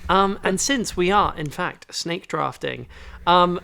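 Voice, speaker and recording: A man's voice: sits high, takes it slowly, gravelly, sharp tone. A man from Belgium chatting casually as a podcast co-host.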